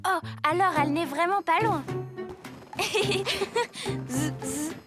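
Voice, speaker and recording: A woman's voice: extremely high pitch, takes it slowly, over music. A woman voicing a cheerful, playful little girl in a preschool cartoon, with exclamatory delivery and silly sound-making. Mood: curious, excited. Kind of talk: animated preschool cartoon dialogue.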